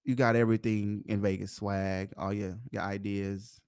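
Slangy laughing podcast host. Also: male voice, on the low side, flat delivery, normal speed, a little mellow, smooth, dry recording, studio quality. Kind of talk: casual interview chat.

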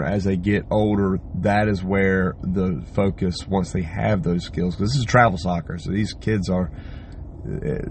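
Man, hoarse, low-pitched, middle-aged, casual rambling podcast host, faint background noise. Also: a little mellow. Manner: rambling, loose, swears casually. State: hoarse, lost voice from yelling. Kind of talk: casual solo podcast monologue.

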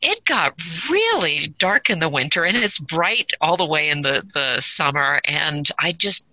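Low, very bright Californian woman. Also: very animated, measured pace, no echo. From Southern California, United States. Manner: chatty, self-amused, upbeat. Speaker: podcast guest, author. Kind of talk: casual interview chat. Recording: dry, slightly dull audio.

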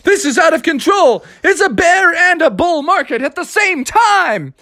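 A man voicing excitable, money-hungry stock traders in a children's story, with urgent, barking exclamations. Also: very high-pitched, moderate pace, dry recording, clean studio sound, voice pushed up. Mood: alarmed.

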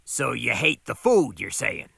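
A man's voice: medium pitch, very animated, measured pace, creaky, sharp tone, breathy edge, dry recording, full character voice. A man voicing a stubborn, grumpy diner owner in an audiobook, with a dry, defensive, grousing delivery. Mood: dry, evasive.

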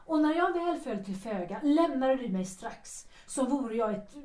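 A stage actress in her thirties facing her former husband, earnest and reproachful. Her voice is high, with formal, emphatic period-drama phrasing. It sounds like a radio play in a very roomy, echoing interior. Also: expressive, mellow. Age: 30s. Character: earnest, reproachful actress ex-wife, 33, seeking forgiveness.

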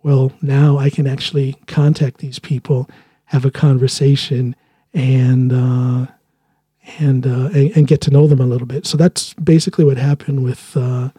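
A man's voice: mid-range voice, little pitch movement, normal speed, mellow, husky, dry recording. A man hosting a solo podcast, talking earnestly in a loose monologue and often restarting his sentences. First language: English. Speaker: podcast host.

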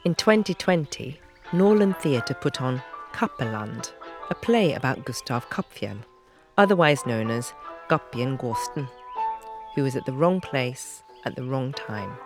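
A male announcer with a medium-pitched voice delivers scripted documentary narration for an audio drama. Music plays clearly behind him throughout. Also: animated, unhurried.

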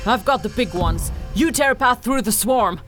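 A woman's voice: expressive, moderate pace, natural voice. A woman playing a fierce, machine-worshipping knight pilot in a sci-fi audio drama, formal and precise. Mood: formal, urgent. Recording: loud music, vehicle rumble behind.

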